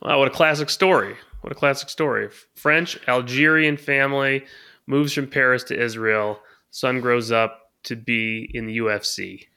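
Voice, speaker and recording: Bright-voiced man hosting a podcast. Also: mid-range voice, fairly even intonation, clean tone. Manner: measured, long-winded explaining. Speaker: podcast co-host. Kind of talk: podcast talk and interviewing.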